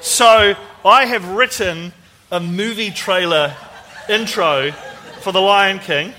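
A male preacher with a high, forceful voice addresses his congregation. He is informal and enthusiastic, mixing conversational teaching with jokes and questions to the listeners. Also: slow pace, slight background noise, poor audio. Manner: enthusiastic, joking, asks the audience questions. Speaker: preacher speaking to a church congregation. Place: speaking live to a gathering at church.

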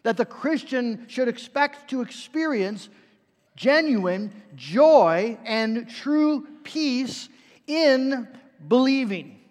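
A man's voice: extremely high pitch, expressive, very slow. A man preaching a sermon in a conversational way, with frequent fillers and restarts. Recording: reverberant hall.

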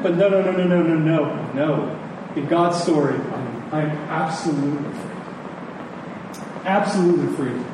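A man delivering a sermon as a pastor, earnest and emphatic, repeating words for stress. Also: sits high. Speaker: pastor preaching to his congregation. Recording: echoey hall, distant mic, noisy background.

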